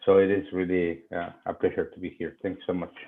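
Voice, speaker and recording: Deep Mexican-accented man on a tinny call. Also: level tone, a little fast. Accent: Mexican Spanish. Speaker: guest, nonprofit executive director. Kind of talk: self-introduction in webinar. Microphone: online video call. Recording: muffled, phone-like call audio.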